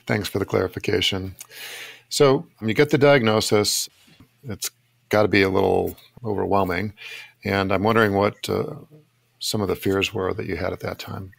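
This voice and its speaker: A man hosting a podcast, asking questions in a deliberate, courteous, conversational way. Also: low voice, vocal fry, no echo. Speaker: podcast host, interviewer.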